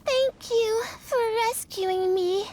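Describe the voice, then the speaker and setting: A woman's voice: very high-pitched, takes it slowly, darkish tone, slight background noise. A woman voicing a timid, apologetic small child in a video game. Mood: grateful.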